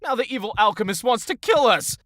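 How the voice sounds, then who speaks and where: An actor playing a jumpy, timid, excitable young adventurer in a fantasy audio drama, anxious and exclaiming. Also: medium pitch, a little fast, no room sound, studio quality. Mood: panicky.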